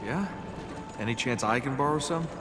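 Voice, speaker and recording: A man's voice: medium pitch, moderate pace, natural voice. An actor playing a cocky, wisecracking young male adventurer in a video game, with a sardonic, bantering manner. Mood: wry, resigned. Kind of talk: video game cutscene voice acting. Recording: music behind the dialogue. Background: game score.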